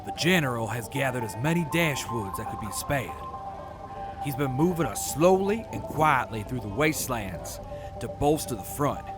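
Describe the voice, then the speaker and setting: A man giving calm, matter-of-fact scripted narration for an audio drama. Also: mid-range voice, moderate pace, music in the background.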